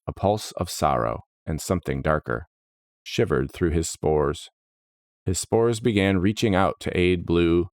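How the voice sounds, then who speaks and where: Guy reading a dark fantasy story, super deep, slow dramatic delivery. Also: no echo, studio quality. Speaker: narrator. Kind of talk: scripted fantasy story narration.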